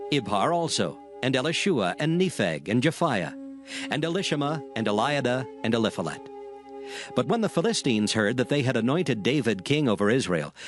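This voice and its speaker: A man narrating dramatized scripture in a measured, formal way. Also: mid-range voice.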